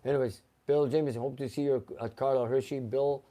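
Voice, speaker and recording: A man's voice: medium pitch, fairly even intonation, a little fast, dark tone. A man hosting a casual live car show, reading out viewer names and comments in a chatty way.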